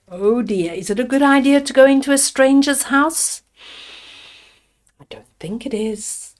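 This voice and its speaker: A woman storyteller reading a fairy tale aloud to children, deliberately, with simple asides to her young listeners. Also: a little high. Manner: deliberate, addresses children with simple questions and comments.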